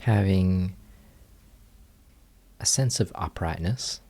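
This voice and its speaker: A man with a deep, low-pitched voice teaches a guided meditation. He speaks very slowly and gently, leaving long pauses between short phrases of instruction.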